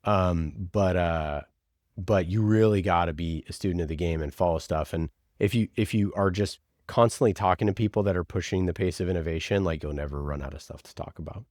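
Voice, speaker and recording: A man with a low, deep voice talks as a guest in a casual interview. He is emphatic and informal, often slipping into little quoted asides. The sound is close and dry. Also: brisk, darkish tone, no echo. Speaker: podcast producer, interview guest. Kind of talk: casual conversational interview answers.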